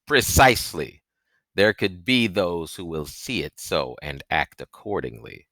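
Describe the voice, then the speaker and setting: A man voicing a grave older gentleman in a medieval storybook, speaking in a measured, ominous way. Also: deep voice, very animated, dry recording, full character voice. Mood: grave warning. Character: grave, older gentleman stranger with secret news.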